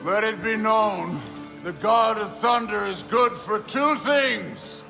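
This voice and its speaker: A man acting as a big, surly, drunken Norse thunder god in a video game, with a gravelly, brooding delivery. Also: high voice, slow, sharp tone, exaggerated voice. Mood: drunken, boastful. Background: music.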